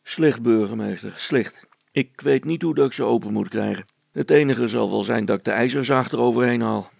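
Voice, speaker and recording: A man's voice: mid-range voice, moderate pace, vocal fry, a little mellow, husky, no echo. A man voicing a stolid, plain-spoken handyman in a story, matter-of-fact and respectful. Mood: resigned.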